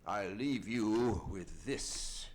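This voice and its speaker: An actor playing a bullying, threatening middle-aged doctor in an old-time radio play, theatrical and emphatic. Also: male voice, on the low side, lively intonation, extremely slow, darkish tone. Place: live on a theatre stage.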